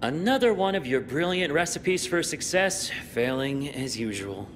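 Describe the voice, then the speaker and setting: A man's voice: sing-song, normal speed, fairly bright tone. A man playing an arrogant, sarcastic teenage star athlete in a video game cutscene. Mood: scornful, sarcastic. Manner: sarcastic, sneering. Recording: reverberant hall, faint rumbling noise.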